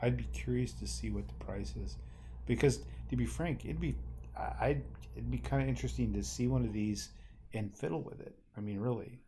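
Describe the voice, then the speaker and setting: A man's voice: medium pitch, a little fast, dark tone, slight background noise. A man co-hosting a podcast in casual chat, speaking hesitantly with many ums and trailing phrases. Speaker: podcast co-host.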